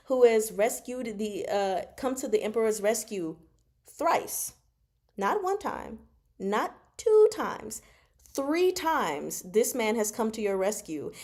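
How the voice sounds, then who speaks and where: A woman casually recapping and commenting on a novel as host, in an animated, slangy, emphatic way, acting out dialogue in her own voice and checking in with little tags like "okay?" and "right?". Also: fairly high pitch. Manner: punctuates points with "okay?" and "right?". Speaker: host of a book discussion.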